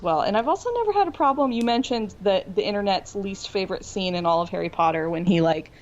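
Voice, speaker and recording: A woman's voice: mid-range voice, lively intonation, fast. A woman co-hosting a podcast in casual, wry, informal discussion. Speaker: podcast co-host. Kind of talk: casual pop culture discussion. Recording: dull, noisy room recording.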